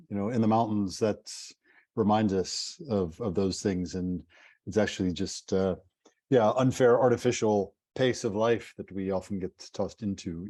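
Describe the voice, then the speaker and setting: A man in his fifties with an American accent talks at length on a webinar call, relaxed and a little self-deprecating. His voice sits low and warm and mellow. The sound is dry and close. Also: no room sound. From United States. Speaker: nonprofit CEO, panel guest. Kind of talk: casual webinar talk. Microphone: video call.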